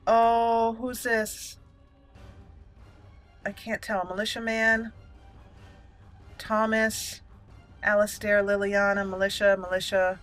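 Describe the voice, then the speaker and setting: Woman, game commentator, bright crisp edge, medium pitch, roleplay playthrough, soft music underneath. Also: little pitch movement, slow pace, pauses often. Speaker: gaming channel host. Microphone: voice-over over game audio.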